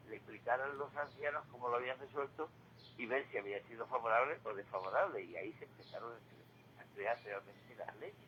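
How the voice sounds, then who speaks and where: An older man giving a reflective radio monologue, halting and repeating words, with rhetorical questions. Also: mid-range voice, fairly bright tone, lo-fi sound. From Spain. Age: 60s or older. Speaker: retired regular contributor giving commentary. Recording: clearly noisy radio feed.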